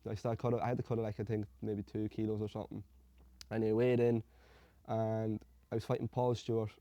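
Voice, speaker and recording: Low, dark-voiced young man. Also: brisk, dry recording, highs cut off. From Hungary. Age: young adult. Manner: relaxed, rambling storytelling with Irish slang. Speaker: amateur MMA fighter. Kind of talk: casual interview answers.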